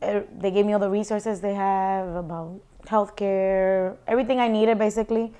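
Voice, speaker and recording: A young woman quietly telling her own story in an interview, halting with restarts. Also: medium pitch, steady tone, smooth. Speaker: shelter client. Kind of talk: personal interview testimony. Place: indoor home room. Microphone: lapel.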